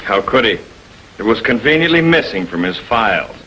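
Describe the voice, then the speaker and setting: An actor playing a brusque police officer assisting a prosecutor in a 1940s television crime drama. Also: male voice, fairly low pitch, big pitch swings, moderate pace, bright, forceful tone. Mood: businesslike. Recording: noisy 1940s film soundtrack.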